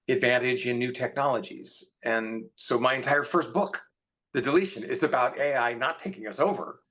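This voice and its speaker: A man's voice: mid-range voice, fairly bright tone. A man speaking as a guest author in a casual panel conversation, earnest and opinionated as he explains his views.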